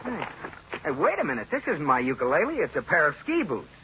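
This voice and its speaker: An actor playing a boyish, easily flustered American husband in an old radio sitcom, emphatic and exclamatory. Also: male voice, a little high, wildly swooping pitch, a little fast, dull audio. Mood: bemused, sardonic. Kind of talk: 1940s-50s radio sitcom acting. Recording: noisy, band-limited old radio recording.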